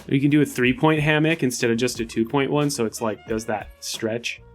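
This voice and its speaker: A man's voice: mid-range voice, brisk, faint music behind. A man chatting casually and thinking aloud as a player in a tabletop role-playing game. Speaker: player on a tabletop RPG podcast. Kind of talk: casual improvised game chat.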